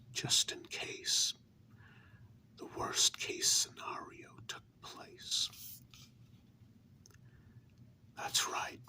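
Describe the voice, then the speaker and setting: A man voicing a hurt human lover confronting a vampire partner in a whispered ASMR role-play, with earnest, deliberate, drawn-out words. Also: sharp tone, full character voice. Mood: indignant, earnest. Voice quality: breathy unvoiced whisper.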